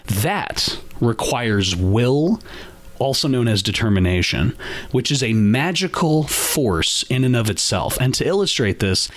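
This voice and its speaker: A man's voice: medium pitch, animated, unhurried. A man hosting a podcast, delivering a scripted monologue in an earnest, emphatic way. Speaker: podcast host.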